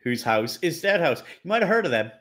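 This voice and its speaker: A man's voice: fairly high pitch, very animated, brisk, a bit bright. A man co-hosting a casual chat who teases the others and jokes around. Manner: teasing, jokey. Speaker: podcast co-host.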